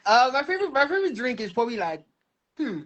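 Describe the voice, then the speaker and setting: A man's voice: very high-pitched, sing-song, brisk, a bit bright, dull audio, a little hiss or hum. Young guy from lagos, chill guest on a video call, lots of likes and you knows. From Lagos, Nigeria. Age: 20s. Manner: easygoing, many "like" and "you know" fillers. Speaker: musical artist guest. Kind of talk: casual interview chat.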